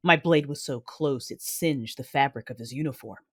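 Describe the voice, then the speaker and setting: A woman's voice: rumbling low, normal speed. An actress playing a vengeful, anguished Sith warrior, narrating her own tale in an intense, brooding, theatrical manner for an audio drama. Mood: cold fury. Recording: loud music behind the voice. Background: loud dramatic music.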